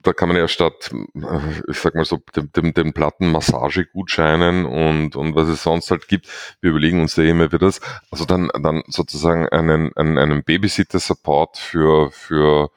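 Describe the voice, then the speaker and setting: A man's voice: extremely low pitch, flat delivery, normal speed, hardly any pauses, smooth, no echo, clean studio sound. A man hosting a company podcast, talking casually with frequent repeated words. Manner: stammering repetitions. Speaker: podcast host, company manager. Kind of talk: podcast conversation.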